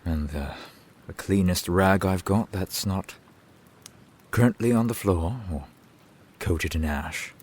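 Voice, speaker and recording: A man playing a gruff, grumbling but reluctantly kind fantasy town blacksmith in a slow, dry ASMR roleplay. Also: low-pitched. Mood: grudgingly helpful. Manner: curt, grumbling, dry, wordy sarcasm. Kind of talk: ASMR roleplay, slow scripted character acting. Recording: steady rain sound behind the voice. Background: rain falling.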